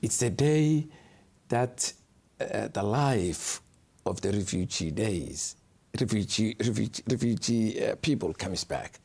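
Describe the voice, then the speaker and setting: A man's voice: fairly low pitch, expressive, slow pace, creaky, a little mellow, husky. A man from Somalia, a guest from a refugee community, speaking earnestly in an interview and searching for his words.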